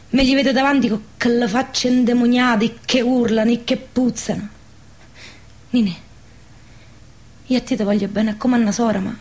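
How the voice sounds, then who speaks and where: A haunted young village woman acted by a woman in a radio play, fearful, ashamed and bitter. She speaks plaintively in a fairly high voice with a southern Lazio dialect. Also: darkish tone, lightly acted. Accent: southern Lazio Italian dialect. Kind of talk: radio drama acting.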